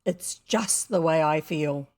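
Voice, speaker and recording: A woman's voice: a little high, sing-song, unhurried, darkish tone, full character voice. A mischievous storybook schoolboy played by a woman. He is earnest and stubborn, with a boastful, self-important way of talking. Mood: affected, mysterious.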